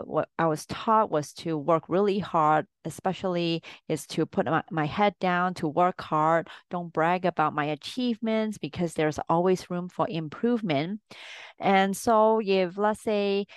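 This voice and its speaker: A woman's voice: mid-range voice, clean tone, dry recording. A woman from Hong Kong hosting her own podcast as a life coach, talking through her points in an earnest, conversational way and repeating them for emphasis. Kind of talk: solo podcast monologue.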